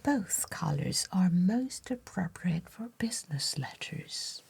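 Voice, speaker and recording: A woman playing a courteous, attentive letter writer in an ASMR roleplay, speaking in a soft, breathy whisper with gentle, formal deliberation. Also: fairly low pitch, animated, slow, full character voice.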